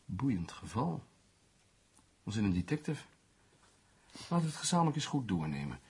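An actor playing a skeptical, teasing newspaper journalist who reasons things out in a sardonic way, in a Dutch radio play. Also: male voice, on the low side, very animated, moderate pace, darkish tone, husky, exaggerated voice. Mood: pondering, analytical. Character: skeptical, teasing male newspaper journalist, analytical amateur sleuth.

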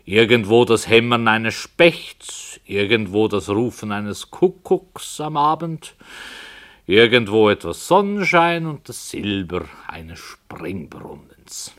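A man as an evasive, whimsical author telling his story in a 1960s German radio play, deliberate, musing and dry. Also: fairly low pitch, animated, fairly bright tone. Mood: musing, descriptive. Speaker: author character.

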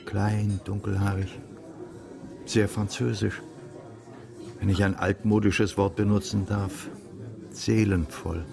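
An actor playing a dry, composed male investigator in a radio crime drama, with a deliberate, understated delivery. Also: on the low side, level tone, creaky, music in the background. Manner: deliberate, understated, slightly old-fashioned wording. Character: dry, composed widowed male investigator reporting his findings.

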